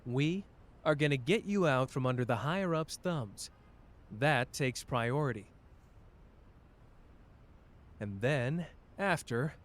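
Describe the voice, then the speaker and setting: Man acting an intense, betrayed young sorcerer, animated pitch. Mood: resolute, protective. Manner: emotional, pleading, swearing, addressing the listener intimately. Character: betrayed, fierce, protective young male sorcerer in love. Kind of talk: dramatic ASMR character roleplay.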